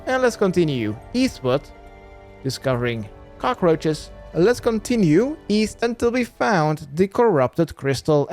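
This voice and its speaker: Animated game guide narrator with lively pitch. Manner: instructional, addresses the viewer with "let's". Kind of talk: step-by-step game walkthrough commentary. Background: music.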